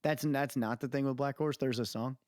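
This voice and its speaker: A man's voice: medium pitch, a little fast, no room sound, studio quality. A man chatting casually as a podcast co-host, arguing his point in informal, slangy language. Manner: animated, argumentative, informal slangy talk.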